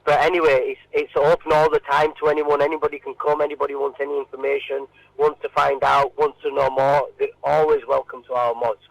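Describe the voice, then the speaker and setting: A man answering informally in a radio interview, halting and often repeating his words. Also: medium pitch, little pitch movement, vocal fry, muffled recording.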